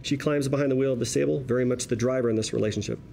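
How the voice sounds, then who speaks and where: A man's voice: mid-range voice, fairly even intonation, very fast, vocal fry, dark tone, slight background noise. A man narrating stage directions from a script at a table read, in present-tense screenplay style.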